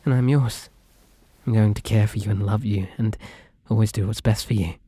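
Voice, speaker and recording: A man's voice: fairly low pitch, fairly even intonation, moderate pace, warm tone, breathy edge, dry recording. A British man playing a shy, lovestruck and possessive young kidnapper in an intimate ASMR roleplay, speaking earnestly and hesitantly to the listener. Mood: adoring, possessive. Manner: hesitant, earnest, politely sweet, rambling.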